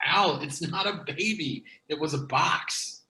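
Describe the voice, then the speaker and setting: Bright, higher-voiced earnest man, acted. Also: unhurried, rough recording. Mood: eager, insistent. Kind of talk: table read of a comedy TV pilot.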